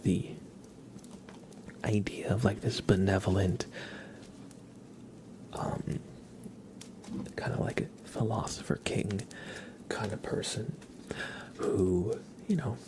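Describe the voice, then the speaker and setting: Whispering, gravelly young man doing ASMR. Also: on the low side, slow pace, long pauses, low-quality recording. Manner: soft-spoken, mostly whispering; reassuring, encouraging. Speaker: ASMR livestream host. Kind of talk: relaxed ASMR, chatting with viewers and reading tarot cards.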